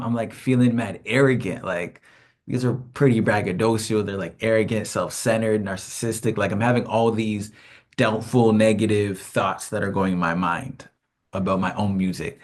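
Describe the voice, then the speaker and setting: A man, a hip hop artist, talking casually to camera in a rambling, slang-filled and self-reflective vlog, often laughing. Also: lowish voice.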